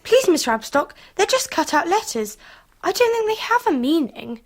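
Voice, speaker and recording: An English actress playing a spirited, well-spoken young Victorian girl in an expressive radio drama performance. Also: very high-pitched, normal speed, full character voice. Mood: meek. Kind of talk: 1990s radio drama acting.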